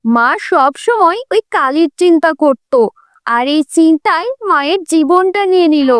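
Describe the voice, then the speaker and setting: A woman voicing a greedy, scheming, callous young wife in a children's cartoon, with loud music behind her. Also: very high voice, expressive, moderate pace, no room sound. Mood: bitter lament.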